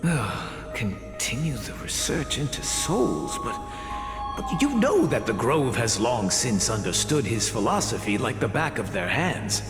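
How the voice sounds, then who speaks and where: A man's voice: medium pitch, sing-song, measured pace. An actor playing a stern but caring male teacher in a game, earnest and lecturing, stumbling over words when alarmed. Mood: gentle. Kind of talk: game voice acting. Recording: roomy echo with music behind.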